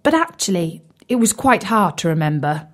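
A woman's voice: fairly low pitch, big pitch swings, normal speed. A woman narrating a scripted children's story expressively, addressing young listeners directly.

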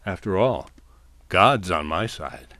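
A man delivering a wry scripted comic monologue with deliberate, dramatic pauses. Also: low-pitched, expressive, sharp tone, no room sound. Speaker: storyteller.

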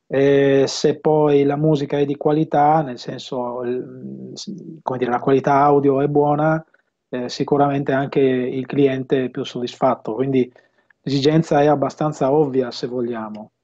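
Man in his fifties, soft-edged mellow tone, casual interview talk, pausing mid-sentence, dull video-call sound. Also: mid-range voice, little pitch movement. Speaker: audio system installer and dealer being interviewed. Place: home room with bookshelves, indoors. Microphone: earphone headset on a video call.

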